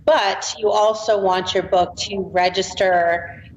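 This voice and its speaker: A woman's voice: medium pitch, fairly even intonation, slow. A woman in her late forties, an author chatting as a podcast guest, talking easily and laughing now and then. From Boston. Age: 40s. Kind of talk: casual interview chat. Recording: roomy, dull remote audio with faint noise.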